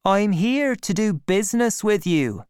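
A woman's voice: medium pitch, expressive, unhurried, darkish tone, clear, resonant tone, no room sound, studio quality, pitched above the performer's own voice, natural voice. A woman voicing a confident, cunning boy in a storybook, speaking in an assured, commanding way.

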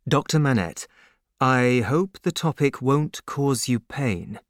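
A man voicing an earnest, humble young gentleman who speaks in a sincere, measured way. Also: mid-range voice, lively intonation, no echo, clean studio sound.

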